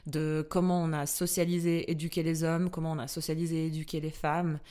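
Podcast co-host, woman, non-native French speaker, low voice, earnest and quick to laugh. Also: little pitch movement, dark tone. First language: not French. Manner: earnest, laughs easily, rephrases as she talks. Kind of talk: casual podcast chat. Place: studio in a Swiss city.

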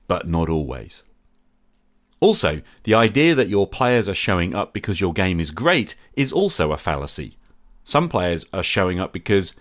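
A man with a deep, low voice delivers a reflective scripted monologue. His tone is measured and candid, and he is openly critical of himself. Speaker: podcast host.

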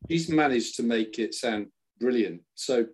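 A man's voice: medium pitch, lively intonation, unhurried, breathy edge. A man co-hosting a podcast, talking casually and enthusiastically about tracks, with frequent restarts. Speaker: podcast co-host. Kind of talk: casual chat.

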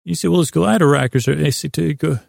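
A man hosting a sleep podcast, rambling in a halting, meandering way with a gravelly voice, often pausing to restate himself. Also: on the high side, a little mellow, no room sound, clean studio sound. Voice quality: gravelly, creaky. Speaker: podcast host. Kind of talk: rambling bedtime monologue to help listeners sleep.

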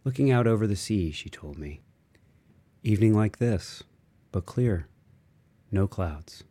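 A man reading a literary audiobook, voicing an interior monologue in musing, fragmented phrases with expressive shifts. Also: on the low side, unhurried. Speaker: audiobook reader.

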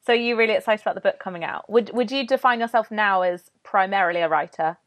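A woman's voice: medium pitch, fast talker, fairly bright tone, no room sound. A woman hosting a podcast with a mid-range voice, chatty and encouraging as she asks questions. Her sound is dry and close to the microphone. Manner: friendly, encouraging listener, chatty. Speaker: podcast host. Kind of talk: casual interview chat.

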